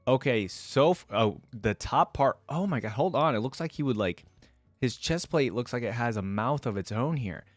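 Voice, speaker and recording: A man's voice: medium pitch, animated, moderate pace, no echo, faint music behind. A man giving casual, off-the-cuff reaction commentary as a video host, thinking aloud in an informal, wryly bemused way.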